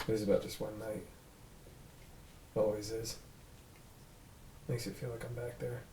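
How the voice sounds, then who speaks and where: Gruff, guarded cop played by a man, blunt and mid-pitched, recorded in an echoey room. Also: darkish tone, distant mic, lightly acted. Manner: blunt, casual, clipped swearing. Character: gruff, guarded, sweary human police detective. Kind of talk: table read of a drama script. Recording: roomy echo, off mic, faint noise.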